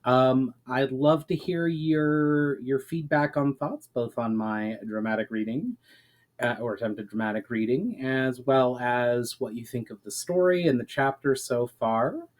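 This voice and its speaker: A man reading his own fantasy story as a dramatic narration, deliberate in delivery, drawing out the ends of phrases. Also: medium pitch.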